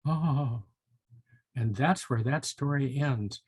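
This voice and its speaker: An older man with a husky voice, reading a storybook and chatting to young children. He is folksy and musing, and the recording is close and dry. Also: mid-range voice, takes it slowly, no echo. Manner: folksy, musing, with interjections like hmm and well. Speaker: volunteer storybook reader for children.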